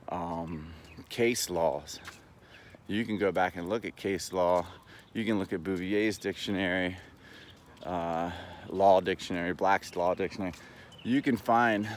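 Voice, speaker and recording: A man talking in a casual, rambling monologue, halting but earnest and opinionated, as a vlogger. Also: sits low, slight background noise.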